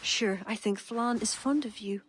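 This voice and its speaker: A woman's voice: a little high, unhurried, slight background noise, close to an everyday voice. Woman playing a wry, teasing Irishwoman. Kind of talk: video game cutscene acting.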